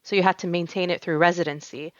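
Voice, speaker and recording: A woman's voice: on the low side, fairly even intonation, fast talker, no room sound, lo-fi sound. A young adult woman, a tatreez artist, chatting as a podcast guest, telling stories with lots of fillers and restarts and laughing often. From United States. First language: Arabic. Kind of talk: casual podcast interview.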